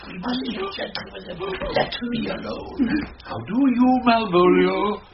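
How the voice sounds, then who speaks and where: An actor playing a boisterous, mocking knight, theatrical and relishing each phrase in Shakespearean radio drama. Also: male voice, sits high, a little slow. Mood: mock concern. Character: boisterous, mocking knight, a scheming prankster uncle. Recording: dull noisy early-1990s radio drama recording.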